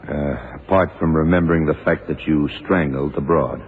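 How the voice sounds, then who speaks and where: An actor playing a tough, terse private detective with a deadpan manner in a radio drama. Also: male voice, extremely low pitch, normal speed. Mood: probing. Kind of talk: hard-boiled radio drama acting. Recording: tinny, hissy 1970s radio recording.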